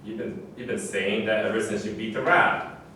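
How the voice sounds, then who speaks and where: A man's voice: lowish voice, fairly even intonation, moderate pace, sharp tone. A man playing a boastful, womanizing basketball great in a staged reading. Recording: echoey room, far mic, faint noise.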